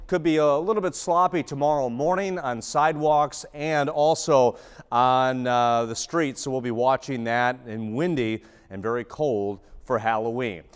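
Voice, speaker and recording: A man's voice: mid-range voice, measured pace, clear, resonant tone, long reverb tail. An American man giving an ad-libbed television weather forecast.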